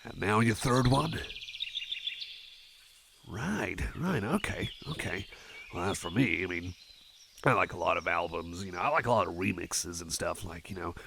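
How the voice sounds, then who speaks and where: Guy doing a shy friendly cyborg asmr, croaky voice, rambles and stammers, faint noise behind him. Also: low-pitched, lively intonation, normal speed, pauses often. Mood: casual, rambling. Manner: hesitant, rambling, stammering, easily sidetracked. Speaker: ASMR roleplayer. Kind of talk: personal attention ASMR roleplay.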